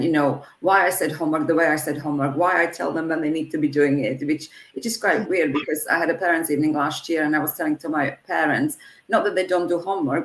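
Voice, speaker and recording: A woman's voice: low voice, a bit bright. A woman language teacher speaking earnestly in a panel discussion, often restarting her sentences. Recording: echoey room.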